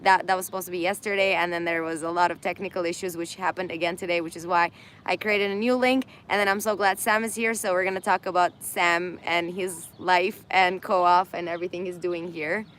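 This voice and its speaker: A woman's voice: on the low side, steady tone, fairly quick, a bit bright. A woman hosting a casual live stream, laughing often and losing her voice. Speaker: host of an Instagram live. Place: indoors in Armenia.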